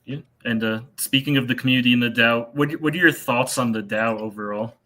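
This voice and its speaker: A man with a bright, forward tone hosts the call, tossing out short questions in a loose, conversational way. His voice sits at an ordinary middle pitch. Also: a little fast. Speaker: host.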